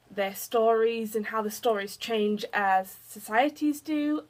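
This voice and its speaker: Woman playing a hesitant, slow-paced young researcher. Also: mid-range voice, a bit bright, low-quality recording. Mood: earnest, curious. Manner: hesitant, rambling, apologetic. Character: curious, hesitant young female PhD researcher.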